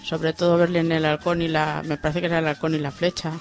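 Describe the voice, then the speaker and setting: A female radio co-host from chats casually on air. Her voice is fairly low for a woman. Music plays behind her. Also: level tone, quick pace, creaky.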